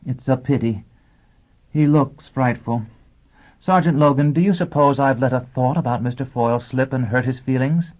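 A man voicing a gentle, anxious, well-bred young woman who teaches, speaking in careful, polite diction. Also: mid-range voice, moderate pace, pitched above the performer's own voice, natural voice. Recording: thin, phone-like band-limited sound.

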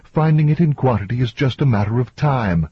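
A man's voice: medium pitch, lively intonation, brisk, mellow, husky, no echo, dull audio, voice pushed up. A man voicing a cool, precise woman scientist who corrects others matter-of-factly in an audiobook. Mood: insistent. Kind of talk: audiobook character dialogue.

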